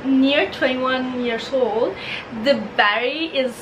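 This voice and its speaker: A woman's voice: sits high, slow, noisy background. A twenty-year-old woman with an Italian accent, chatting casually to camera in her bedroom, often repeating and restarting words. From Italy. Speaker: vlogger. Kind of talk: casual Q&A chat. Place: bedroom, indoors.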